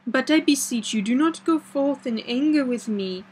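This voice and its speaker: A resolute, earnest old doctor from a gothic audiobook as a woman narrator plays him. The phrasing is formal and a little broken, solemn and imploring. The voice sits fairly high over faint noise. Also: lively intonation, moderate pace, a little mellow. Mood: pleading, sorrowful.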